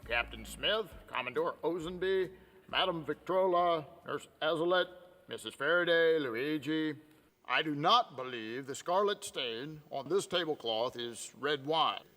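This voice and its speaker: A man's voice: on the high side, a little slow, sharp tone. A man acting an evasive small-town ladies' man in a film drama. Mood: theatrical, accusing. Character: evasive small-town actor juggling lovers, playing radio inspector.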